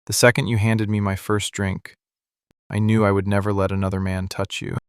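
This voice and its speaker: A man acting a possessive, menacing mafia boss in love, speaking slowly, deliberately and intimately in an ASMR roleplay. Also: lowish voice, level tone, clear, resonant tone, dry recording, studio quality, close to an everyday voice. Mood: cold, possessive. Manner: slow, deliberate, intense, hushed confiding delivery. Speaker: ASMR voice actor. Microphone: close studio microphone, dry.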